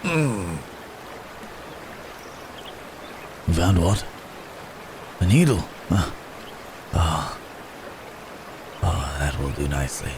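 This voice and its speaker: A man playing a wounded, courteous werewolf in an intimate ASMR roleplay, halting and strained with pain, with old-fashioned polite phrasing. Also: low-pitched, sing-song, noisy background, full character voice. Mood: weary, polite. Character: wounded, weary, courteous male werewolf hunted by men.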